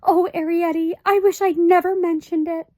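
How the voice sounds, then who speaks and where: A woman voicing a fretful, tearful, middle-aged tiny mother in a storybook, with a high, quavering, melodramatic delivery. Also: flat delivery, moderate pace, mellow, dry recording, studio quality. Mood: fretting.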